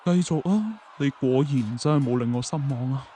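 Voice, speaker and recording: Man acting a smug, dark-toned villain king. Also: mid-range voice, expressive, normal speed, slight background noise, natural voice. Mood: gloating, mocking. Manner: mocking, theatrical. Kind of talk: Chinese radio drama acting.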